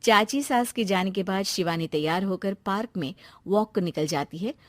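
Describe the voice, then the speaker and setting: A woman narrating a scripted moral story in an expressive storyteller's style. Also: mid-range voice, normal speed, no echo.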